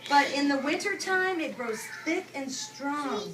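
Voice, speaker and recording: A woman preschool teacher reading aloud to young children, using simple instructive phrasing, repeating key words and pausing for questions and asides. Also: sits high, animated. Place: indoor classroom with young children. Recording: echoey room, distant mic, noisy background.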